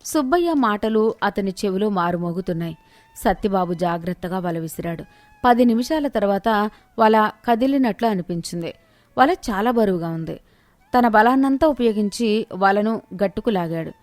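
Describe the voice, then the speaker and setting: A woman's voice: medium pitch, expressive, moderate pace, darkish tone, dry recording. A woman narrator reading a scripted moral tale in storytelling style.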